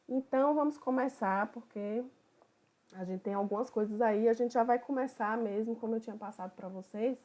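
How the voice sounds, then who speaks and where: A woman from Bahia, a psychoanalyst who opens an online study group in a relaxed, conversational way. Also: medium pitch. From Bahia, Brazil. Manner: relaxed, conversational, thinking aloud.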